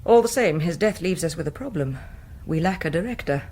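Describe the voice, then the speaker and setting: A British woman playing an intense, brooding Mother Superior in a radio drama, moving between command and hush. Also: fairly low pitch, animated, fairly quick. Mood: solemn authority. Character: troubled, imperious Mother Superior of a convent.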